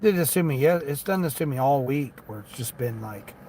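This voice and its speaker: A man's voice: medium pitch, brisk. Folksy Southern-tinged American man, noisy vehicle. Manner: folksy, rambling. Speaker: livestream host, gig delivery driver. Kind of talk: casual livestream chat, replying to viewers. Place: inside a parked vehicle. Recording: steady vehicle and ambient noise.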